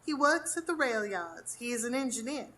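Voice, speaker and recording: A woman acts a dignified, worried grandmother in a live radio play. Her voice is on the high side and moves expressively through polite, anxious speech. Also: animated, normal speed, fairly bright tone. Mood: subdued. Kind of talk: live radio drama acting. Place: performance space in front of a live audience.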